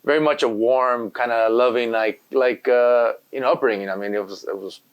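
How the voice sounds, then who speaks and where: A man's voice: medium pitch, fairly even intonation, normal speed, clear, resonant tone, lo-fi sound. A man from Los Angeles whose first language is Spanish, a graffiti artist guest chatting casually in an interview, rambling with lots of "you know.". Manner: laid-back, rambling, full of "you know".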